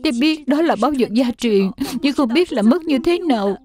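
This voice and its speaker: An actress playing a meek wife of a wealthy master, pleading in an animated drama. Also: female voice, high-pitched, brisk.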